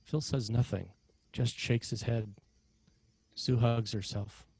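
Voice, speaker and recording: A man reading scripted narration and stage directions as a screenplay narrator, in a measured, dramatic way. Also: medium pitch, fairly even intonation, darkish tone, husky, dry recording.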